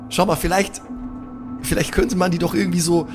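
A man's voice: high-pitched, flat delivery, fairly quick. A man chatting casually and slangily while improvising as a player in a tabletop role-playing show. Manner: colloquial slangy German with English bits. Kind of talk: casual improvised in-character chat. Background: music.

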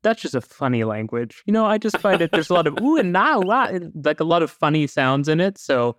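A man's voice: fairly high pitch, very animated, a little fast, a bit bright, no room sound, studio quality. Chatty man, podcast guest. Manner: chatty, opinionated. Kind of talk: casual podcast conversation.

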